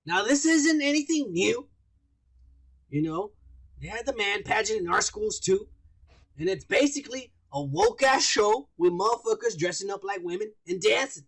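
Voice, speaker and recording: Raspy, lively man on a podcast. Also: high-pitched, moderate pace. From United States. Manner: crude, rambling, profane. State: high and drinking. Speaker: podcast co-host. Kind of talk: casual chat.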